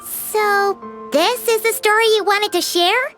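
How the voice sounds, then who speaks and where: A woman voicing a tiny, childlike fairy companion in a video game, squeaky and cheeky with a sassy manner. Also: slow, fairly bright tone, full character voice. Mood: curious. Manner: sassy, third-person self-reference. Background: background music.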